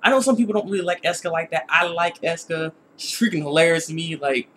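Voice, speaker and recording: A young man hosting a casual online episode review, talking in an excitable, slangy, rambling way and repeating himself. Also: fairly high pitch, quick pace, a little hiss or hum. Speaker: YouTube reviewer and host.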